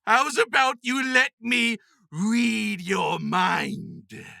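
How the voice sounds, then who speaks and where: A man's voice: extremely high pitch, very slow, vocal fry, bright, forceful tone, dry recording, studio quality, pitched above the performer's own voice, heavily acted. A man voicing a theatrical costumed wizard hawker, declaiming pompously in mock-medieval style for an audiobook. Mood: showy salesmanship. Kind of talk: acted audiobook dialogue.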